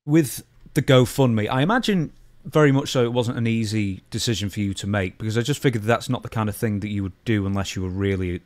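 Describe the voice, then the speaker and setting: A man's voice: lowish voice, fast talker, dry recording, studio quality. A man hosting a podcast, asking questions in a casual, conversational interview style.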